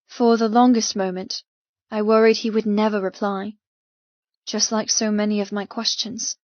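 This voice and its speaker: A woman narrating first-person fiction as an audiobook, in a measured, dramatic manner. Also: medium pitch, flat delivery, darkish tone, no room sound, highs cut off.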